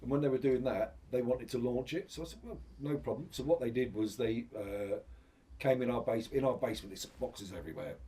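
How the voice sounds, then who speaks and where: A man's voice: mid-range voice, a little fast, dark tone. Chatty man, slightly distant mic. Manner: chatty, rambling, many restarts and fillers. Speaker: shop manager, guest. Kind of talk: casual anecdote in interview.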